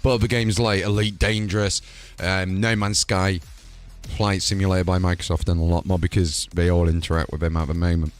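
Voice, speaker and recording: A man's voice: low-pitched, level tone, a little fast, smooth, soft background music. A man hosting a product showcase, hyped and rambling, with filler words. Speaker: host.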